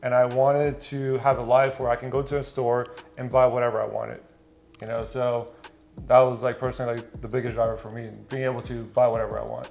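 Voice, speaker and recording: A man's voice: medium pitch, level tone, brisk, vocal fry, darkish tone. A man from Bosnia chatting casually as a panelist, speaking in an informal, slangy way. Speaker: podcast panelist, entrepreneur. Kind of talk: casual conversation.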